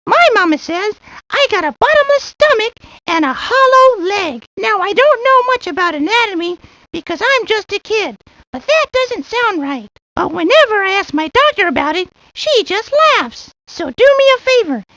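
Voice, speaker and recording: A woman's voice: very high voice, big pitch swings, normal speed, sharp tone, dry recording, heavily acted. A woman voicing an earnest, chatty, always-hungry little boy in scripted lines for a video game. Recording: dull, lo-fi 1990s game audio.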